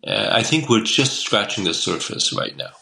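A man in his sixties from Taiwan speaks over a video call from a room at home. His voice sits low yet sounds bright and forceful. He rambles easily, circling back with fillers and restarts. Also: expressive, moderate pace, gravelly. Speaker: guest, Chinese medicine doctor. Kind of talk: casual interview talk. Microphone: video call webcam.

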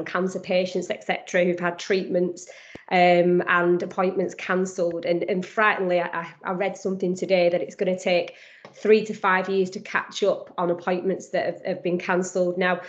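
A woman with a strong Lancashire accent speaks quickly and upbeat, full of ums and restarts. Her pitch stays fairly even and flat. She talks into a headset on a slightly dull, lo-fi video call. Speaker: guest speaker. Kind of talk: online panel presentation.

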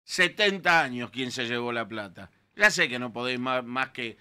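A man's voice: medium pitch, expressive, moderate pace, sharp tone, clear, resonant tone. A man in his sixties with a Rioplatense Argentine accent, an emphatic and indignant radio panelist talking into a studio microphone. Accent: Rioplatense Spanish. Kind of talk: opinionated radio talk. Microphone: studio mic with headphones.

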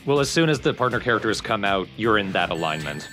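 A man's voice: lowish voice, big pitch swings, fast talker, over music. A man co-hosting a let's play, giving casual game commentary with dry sarcasm.

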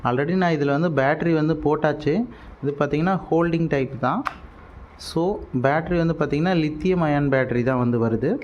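A man with a Tamil Nadu accent and a somewhat high voice talks through a product review. His delivery is casual and explanatory, sprinkled with English words. Some background noise sits under the recording. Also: fairly quick, dull audio. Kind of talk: casual unboxing vlog. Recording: noticeable steady background noise.

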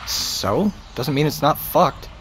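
A man's voice: on the high side, expressive, slow pace, fairly bright tone. A man with an American accent playing a sarcastic, sullen seventeen-year-old boy in a naturalistic audio drama. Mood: defensive, annoyed. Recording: noisy outdoor ambience behind.

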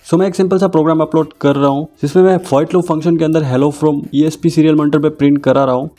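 A man hosting a screen-recorded tech tutorial, explaining each step calmly and mixing in English technical terms. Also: on the high side.

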